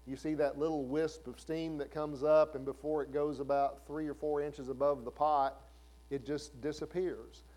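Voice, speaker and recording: A man's voice: a little high, dark tone. A man in his fifties preaching a sermon in a conversational way, asking the listeners questions. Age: 50s. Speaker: pastor.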